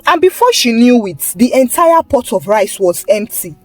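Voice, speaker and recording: Animated high-voiced woman storyteller. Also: moderate pace, dry recording, soft background music. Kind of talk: scripted folktale narration.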